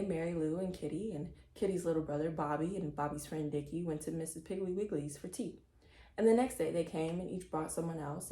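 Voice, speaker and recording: A woman narrating a children's chapter book in an expressive read-aloud style. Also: lowish voice, roomy sound, low-quality recording. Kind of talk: expressive scripted story reading.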